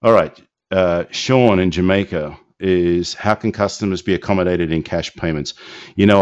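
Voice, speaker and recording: A man's voice: low-pitched, no echo. A man hosting a live business question-and-answer call, answering loosely with many fillers and restarts. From not from Indiana. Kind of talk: live Q&A webinar, casual. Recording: dull lo-fi dry audio.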